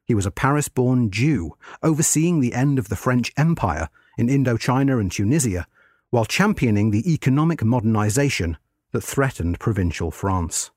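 Male article narrator: measured, formal scripted history read, animated pitch movement, close dry recording. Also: dry recording.

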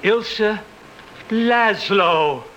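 A man putting on a brave, high-minded husband who declaims earnestly, lifted into a brighter, higher register. The voice has a theatrical, old-time radio-play sound. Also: lively intonation, drawn-out words, slight background noise, exaggerated voice, low-quality recording. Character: noble, earnest resistance husband.

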